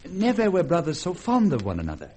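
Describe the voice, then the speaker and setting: A deferential Creole aide with a French accent. His pitch rises and falls dramatically as he talks, polite and gossipy, like a nervous storyteller in an old radio play. There is some noise on the recording. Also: wildly swooping pitch, fast talker, a little mellow. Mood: earnest. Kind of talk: vintage radio drama acting.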